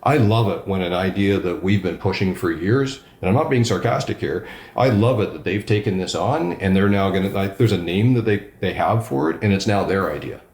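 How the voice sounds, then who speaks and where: Deep-voiced man, enthusiastic and emphatic. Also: animated, fairly quick, reverberant. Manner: enthusiastic, emphatic, self-described loud voice. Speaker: regional councillor. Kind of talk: conversational interview answers.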